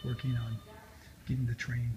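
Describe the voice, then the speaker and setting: A man interviewing a cyclist, friendly and quick to laugh, asking long questions full of fillers. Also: medium pitch, completely flat delivery. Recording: noticeable background noise.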